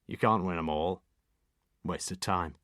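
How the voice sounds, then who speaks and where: A man's voice: low-pitched, a little slow, a bit bright, dry recording, clean studio sound. A man voicing a patient, modest police sergeant who speaks plainly and earnestly.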